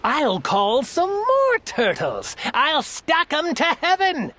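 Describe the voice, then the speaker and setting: A woman's voice: high-pitched, huge pitch swings, slow, a bit bright, voice pushed up, full character voice. A woman voicing a proud, blustering male turtle king in a storybook reading. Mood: indignant.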